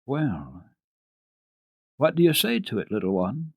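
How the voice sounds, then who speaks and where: Man acting jovial storybook gentleman, lively and husky. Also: mid-range voice, a little slow, dry recording, clean studio sound, pitched above the performer's own voice, exaggerated voice. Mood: jovial, teasing. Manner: jovial, encouraging, decided. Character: kindly, cheerful, decisive gentleman father, master of the house. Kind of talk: dramatic children's storybook reading.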